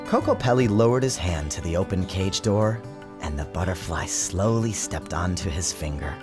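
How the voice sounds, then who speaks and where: A man's voice: deep voice, moderate pace. A man giving a dramatic, theatrical scripted narration of a storybook, with music behind him. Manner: theatrical, expressive. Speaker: author narrating his storybook.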